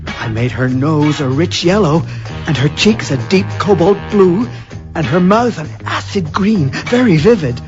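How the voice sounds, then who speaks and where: An actor playing a mild, hesitant husband turned unhinged strangler in a radio drama, with a husky, stammering voice. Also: male voice, a little high, expressive, a little slow. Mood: dreamy, unhinged. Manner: hesitant, stammering, repeating words. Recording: dull lo-fi radio recording with music.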